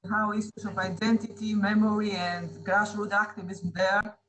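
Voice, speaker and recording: A moderator thanking speakers and handing over the floor, with some fillers. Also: male voice, high voice, flat delivery, normal speed, a bit bright, low-quality recording. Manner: brief, with fillers. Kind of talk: moderating a panel. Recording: faint background noise.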